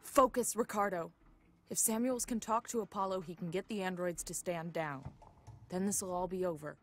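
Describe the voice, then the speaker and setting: A determined, commanding woman survivor played by an actress in a sci-fi game. Her voice sits a little low. She speaks in terse, urgent orders over faint station ambience. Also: moderate pace, a little hiss or hum, close to an everyday voice. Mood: insistent. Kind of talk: video game voice acting.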